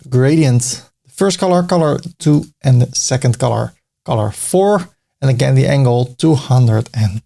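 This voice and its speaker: Dutch-accented man, gravelly tutorial voice. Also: medium pitch, dark tone. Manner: step-by-step, casual, light joking asides. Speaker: web design tutor. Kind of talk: screen-recorded tutorial narration.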